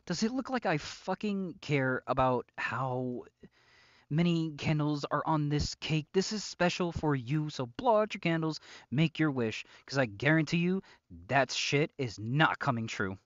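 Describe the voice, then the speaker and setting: A man's voice: on the high side, lively intonation, measured pace, no echo, highs cut off. A man playing an obsessive, possessive psychopath captor in an intimate roleplay audio drama, speaking with mock tenderness and often dropping to whispers. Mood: angry, mocking.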